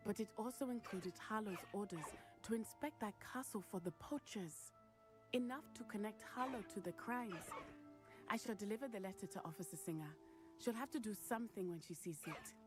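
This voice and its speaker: A woman's voice: mid-range voice, moderate pace, music in the background, close to an everyday voice. A woman playing an earnest, determined young character in a scripted video game. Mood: businesslike.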